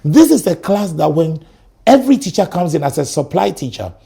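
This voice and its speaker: A middle-aged Ghanaian man with a Ghanaian accent, a guest on a talk show, telling stories in an animated way. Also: on the high side, fairly quick, creaky, breathy edge. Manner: animated storyteller, acts out sounds and quotes. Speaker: guest, educator. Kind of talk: studio talk show conversation.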